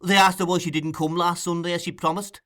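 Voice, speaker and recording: A man's voice: high-pitched, level tone, quick pace, a bit bright, pitched above the performer's own voice. A man voicing a sleepy, sulky young male informer in an audiobook, talking in a grudging, plain way. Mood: matter-of-fact. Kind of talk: audiobook character acting.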